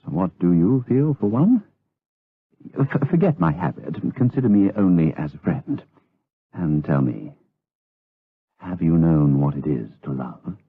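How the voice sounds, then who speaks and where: An actor playing an outwardly pious, lustful abbot in a gothic radio drama, with an oily, hesitant, insinuating delivery. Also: male voice, low-pitched, breathy edge, phone-quality sound, full character voice. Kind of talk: gothic radio drama acting with third-person narration.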